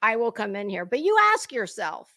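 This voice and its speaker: A woman's voice: medium pitch, sing-song, moderate pace, a bit bright. A woman giving an unscripted commentary rant to her viewers, blunt, sweary and confrontational, leaning hard on emphatic words. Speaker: online commentary creator.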